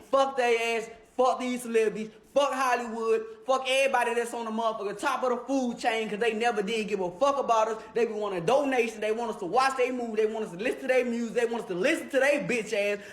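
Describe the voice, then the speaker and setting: A man's voice: very high voice, a bit bright. A man ranting in a furious, profane, preachy way in a social media clip. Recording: roomy echo.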